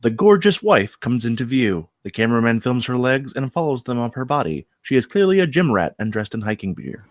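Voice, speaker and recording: A man reading screenplay stage directions aloud as narrator, with deliberate dramatic emphasis. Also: mid-range voice, big pitch swings, no echo, clean studio sound.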